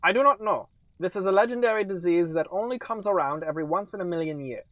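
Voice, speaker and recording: A man voicing a calm but grave doctor in a comedic story, speaking in stiff, formal, deliberate phrases. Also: high voice, no echo. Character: calm but grave male doctor breaking bad news.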